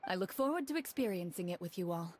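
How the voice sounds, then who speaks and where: A woman voicing a composed female duelist, speaking calmly and formally in video game dialogue. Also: lowish voice. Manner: calm, measured, formal.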